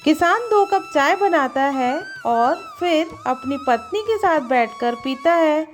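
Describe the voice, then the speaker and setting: A woman narrates a scripted tale as storyteller. Her voice is very high, and music plays softly behind it. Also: lively intonation, slow.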